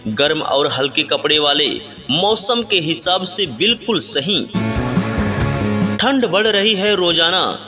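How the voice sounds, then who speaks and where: A man reading a scripted market sales announcement as a street loudspeaker announcer, plainly urging buyers in a repetitive pitch. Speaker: street loudspeaker announcer for a winter clothing seller. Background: music.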